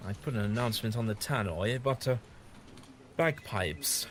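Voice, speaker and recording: A man's voice: lowish voice, expressive, normal speed, a little hiss or hum, close to an everyday voice. A man playing a whimsical, kindly, evasive time-travelling adventurer in an audio drama, with fussy and hesitant politeness. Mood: gentle, hesitant.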